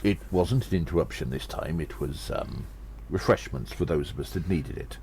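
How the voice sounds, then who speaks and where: A man's voice: a bass voice, moderate pace, darkish tone, slight background noise. A man voicing a small gnome adventurer who recounts battles with theatrical gravity in an audio drama. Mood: confiding, genial. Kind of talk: audio drama storytelling, first-person narration.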